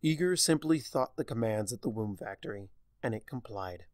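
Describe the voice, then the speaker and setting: A man narrating written fiction in an expressive storytelling manner. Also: lowish voice, normal speed, no room sound, clean studio sound.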